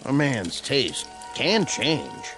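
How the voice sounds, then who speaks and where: A man's voice: medium pitch, huge pitch swings, extremely slow, music in the background, exaggerated voice. An actor playing a laconic, easygoing farmer father with a rural Southern American accent in a radio drama. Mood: distracted, placid.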